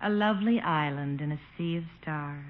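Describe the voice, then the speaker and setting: A woman's voice: low-pitched, normal speed, lightly acted. An actress playing a gracious, dreamy, genteel lady friend in a 1950s radio comedy. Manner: leisurely, genteel, wistful. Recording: noisy muffled old radio, audience behind.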